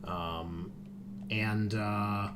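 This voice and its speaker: Low-voiced male game master, halting. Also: steady tone, fairly bright tone, a little hiss or hum. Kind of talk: tabletop game narration, casual table talk.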